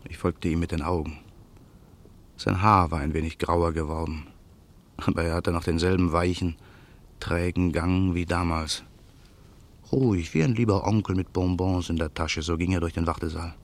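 An actor playing a vengeful former musician, narrating his calm, measured thoughts in a radio play. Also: sits low. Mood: cold. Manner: calm, measured inner monologue. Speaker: narrator and lead character.

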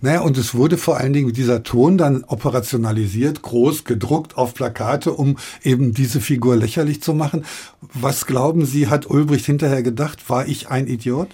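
Husky male radio host, medium pitch. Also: moderate pace, warm tone. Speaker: podcast host. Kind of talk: conversational radio interview moderation.

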